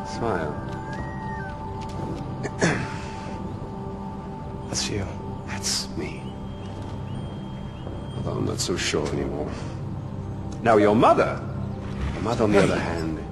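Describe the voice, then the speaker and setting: An actor playing a flamboyant, volatile tyrant in a game cutscene, theatrical and mock-polite. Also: male voice, low voice, full character voice. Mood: wistful, musing. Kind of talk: video game cutscene acting.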